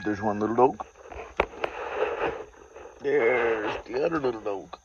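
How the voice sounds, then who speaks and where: A man with a Southern US accent telling a story informally in a folksy, sardonic way, with drawn-out emphasis and rustic slang. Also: medium pitch, very noisy. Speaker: vlogger telling personal stories. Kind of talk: informal storytelling monologue to camera. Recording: dull lo-fi audio.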